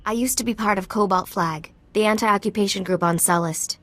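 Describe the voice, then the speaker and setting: Woman as game defector, average pitch, frightened, earnest, hesitant phrasing. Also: a little fast, lightly acted. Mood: nervous, earnest. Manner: hesitant, restarting. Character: nervous female former rebel, frightened but earnest.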